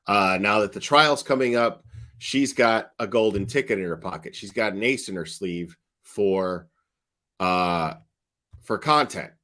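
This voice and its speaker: A man's voice: lowish voice, a little slow, bright, forceful tone. A man giving casual, sweary reaction commentary in a blunt, mocking, sardonic manner. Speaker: commentator reacting to online true-crime streamers.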